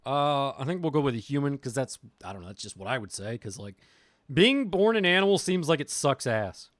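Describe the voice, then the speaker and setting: A man's voice: mid-range voice, big pitch swings, a little fast, no room sound, studio quality. A man streaming a visual novel, reading aloud theatrically and adding crude, casual asides.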